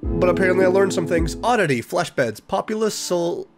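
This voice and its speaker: A man's voice: high-pitched, a little fast. A man giving casual, bemused reaction commentary in short interjections. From Canada. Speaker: reaction video host. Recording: music behind the voice.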